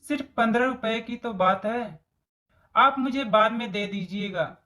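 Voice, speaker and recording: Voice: mid-range voice, measured pace, sharp tone. A voice actor playing a friendly, helpful young woman in a story cartoon, speaking politely. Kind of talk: Hindi moral story cartoon dialogue.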